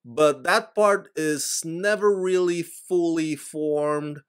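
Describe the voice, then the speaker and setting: A man's voice: on the high side, takes it slowly, smooth. A man in his forties from Indonesia, whose first language is Indonesian, giving a blunt, emphatic scripted film review to camera from a small room on a desk microphone. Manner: blunt, emphatic, animated delivery. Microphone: desk microphone in front of him.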